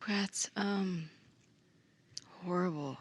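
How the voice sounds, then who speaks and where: A woman's voice: fairly low pitch, very slow, slightly rough. A woman playing a tabletop game, talking casually in conversation as her character.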